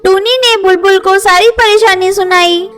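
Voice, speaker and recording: A woman's voice: very high voice, steady tone, normal speed, fairly bright tone. A woman narrating a scripted children's story. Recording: faint music under voice. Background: faint music.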